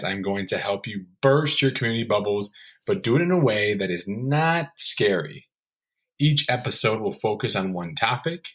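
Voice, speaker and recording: A man's voice: on the low side, a bit bright. A man presenting a podcast in a scripted narration style, as its host.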